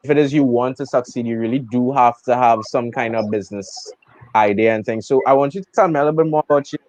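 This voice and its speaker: A man's voice: mid-range voice, fast, darkish tone. A young man interviewing a guest in a casual chat, friendly and enthusiastic.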